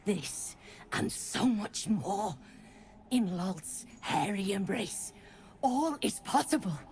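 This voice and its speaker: A woman's voice: mid-range voice, expressive, slow pace, darkish tone, a little hiss or hum. A woman playing a zealous giant spider in a fantasy game, preaching grandly and seductively to her kin. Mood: fervent.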